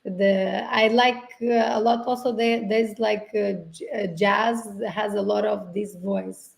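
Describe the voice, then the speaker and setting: Gravelly woman, native Portuguese speaker. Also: steady tone, slow. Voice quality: gravelly low-toned voice. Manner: reflective, searching for words, frequent restarts. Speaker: guest. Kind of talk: casual conversational interview.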